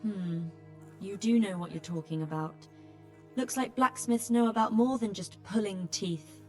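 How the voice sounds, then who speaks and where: A woman's voice: mid-range voice, unhurried, mellow, close to an everyday voice. Actress doing a weary blunt healer woman, dry and fed up, video game drama, bit of music under it. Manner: exasperated, dry, plain-spoken.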